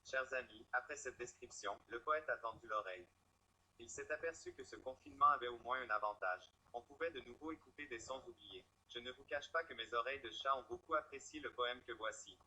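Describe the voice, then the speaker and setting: Man as a haughty playful poet cat, very bright forceful voice, husky edge, wry presenter, web video storybook feel. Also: medium pitch, fairly quick, rough recording. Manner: pompous, wry. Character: haughty talking poet cat, playful, male, poetry lover. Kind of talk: scripted presentation to camera.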